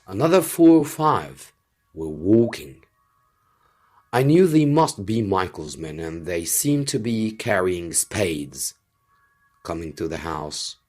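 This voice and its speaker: Slow, animated male storyteller. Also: low-pitched. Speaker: English teacher reading a story aloud. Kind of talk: scripted storybook narration.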